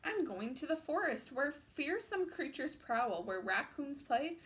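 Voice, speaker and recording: A woman's voice: high voice, sing-song, measured pace, a bit bright, voice pushed up, exaggerated voice. A woman reading the lines of a cheerful male bear on a journey aloud, in a sing-song storybook rhythm.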